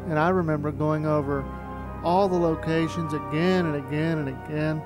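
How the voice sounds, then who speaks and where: A man with a slightly high voice narrates a documentary-style look back at his own amateur productions. He talks conversationally, like someone telling stories. Music plays clearly behind him. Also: fairly even intonation, moderate pace. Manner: conversational storytelling. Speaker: amateur filmmaker narrating a retrospective.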